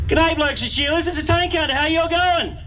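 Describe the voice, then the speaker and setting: A man's voice: very high-pitched, a little fast. A man with an Australian accent announcing at an outdoor tank show over a handheld mic, teasing the crowd with cheeky banter. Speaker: event announcer. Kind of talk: joking crowd warm-up over a PA. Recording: loud outdoor crowd and vehicle noise. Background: crowd chatter and engines.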